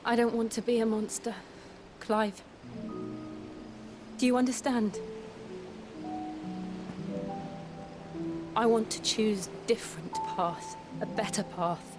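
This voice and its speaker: An actress playing a grave, remorseful young warrior woman in a fantasy video game, speaking in a slow, solemn, confessional way. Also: a little high, lightly acted. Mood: pained resolve. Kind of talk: dramatic fantasy game acting. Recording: dramatic music under the voice. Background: loud music.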